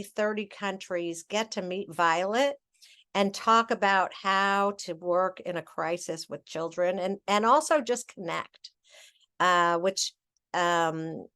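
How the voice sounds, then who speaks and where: A woman's voice: medium pitch, a bit bright, clear, resonant tone. A middle-aged woman giving a training presentation over slides, chatty, with many fillers and self-corrections.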